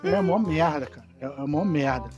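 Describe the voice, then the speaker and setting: A man's voice: fairly high pitch, normal speed, music in the background. A man in a casual podcast chat among friends, slangy and swearing, rambling with many restarts.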